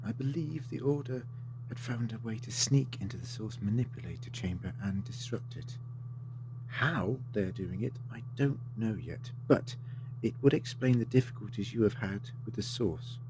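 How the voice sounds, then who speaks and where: A man's voice: lowish voice, lively intonation, moderate pace, background noise. A man acting in an audio drama as a polite, assured time-travelling adventurer, articulate and theatrical with a wry streak. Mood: grave, deliberate.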